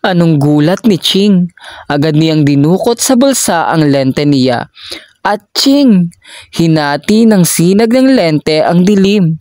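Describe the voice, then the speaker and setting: A person reading a children's story aloud in a measured, deliberate storytelling manner. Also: mid-range voice, animated, a little mellow, dry recording, studio quality.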